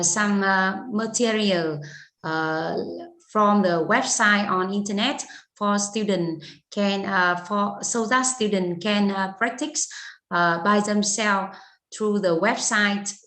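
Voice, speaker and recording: Hesitant, slow-speaking woman in an echoey room. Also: mid-range voice, fairly even intonation, a bit bright. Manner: hesitant, searching for words. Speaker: English teacher being interviewed. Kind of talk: halting spoken answers in an online interview. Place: indoor room on an online video call. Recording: roomy, echoing sound.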